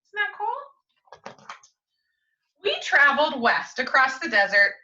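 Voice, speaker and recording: A woman teacher reading a picture book to young children in an expressive, coaxing way, often turning to them with questions. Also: high-pitched, takes it slowly. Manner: expressive, coaxing, asks frequent tag questions. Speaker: schoolteacher reading to young pupils. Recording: roomy echo, distant mic, muffled audio.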